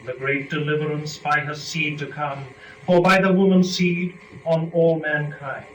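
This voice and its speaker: A man performing solemn epic verse as archangel and Eve, in a measured, declamatory dramatic reading. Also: a little high, steady tone, natural voice. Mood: solemn, exhorting. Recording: roomy, distant, muffled, faint noise.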